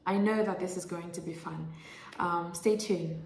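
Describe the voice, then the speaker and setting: A woman's voice: on the low side, steady tone, normal speed, gravelly. A woman hosting a vlog as an air traffic controller, narrating and explaining casually.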